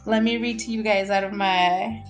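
A woman in her thirties talks straight to her podcast listeners, quick and excited, drawing out her words. She swears casually and slips Spanish phrases into her talk. Faint music plays softly underneath. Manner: excited, fast and high-pitched, stretching words, swearing often. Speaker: podcast host.

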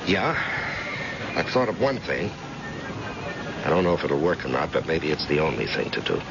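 An actor playing a tough, persistent private investigator in an old-time radio drama, blunt and pressing. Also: male voice, very deep voice, sing-song, moderate pace, gravelly, music in the background, heavily acted. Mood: grave, resolved. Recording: muffled, noisy vintage radio recording.

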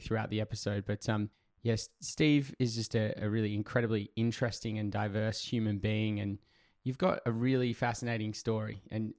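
A man's voice: on the low side, a little mellow, no echo, clean studio sound. A man hosting his podcast, chatty and enthusiastic with lots of fillers, his voice still recovering from laryngitis. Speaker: podcast host. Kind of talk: casual podcast intro and interview.

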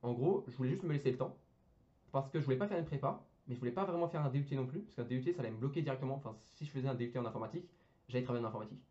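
A man's voice: medium pitch, racing, mellow, highs cut off. A young man, an engineering student, chatting casually on a podcast, full of fillers, restarts and slang. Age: young adult.

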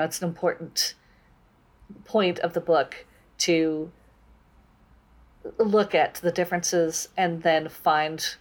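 A woman co-hosting a conversational discussion about books. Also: on the low side. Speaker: book discussion podcast co-host.

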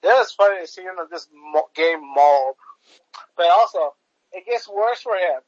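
High-voiced man, halting podcast chatter. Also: lively intonation, dry recording, muffled recording. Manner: halting, stacks up fillers and restarts. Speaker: podcast co-host. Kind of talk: casual political chat.

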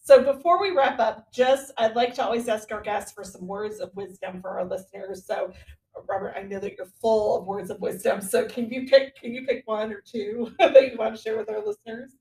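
A woman's voice: medium pitch, brisk, darkish tone, distant mic. A woman hosting a conversational interview podcast in a chatty, somewhat hesitant way, recorded with roomy echo away from the mic. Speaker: podcast host.

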